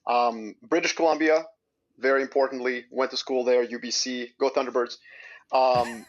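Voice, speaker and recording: A man from Serbia, a guest on an interview podcast, talking in an upbeat, animated storytelling way. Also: mid-range voice, moderate pace, dull audio. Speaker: guest, investment strategist. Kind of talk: casual interview chat. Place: remote, in Switzerland.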